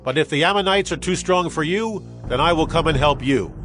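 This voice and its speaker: Man playing commanding general, higher-pitched male voice, emphatic, resolute, audio Bible drama, music behind. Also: fairly quick, fairly bright tone, close to an everyday voice. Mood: rousing.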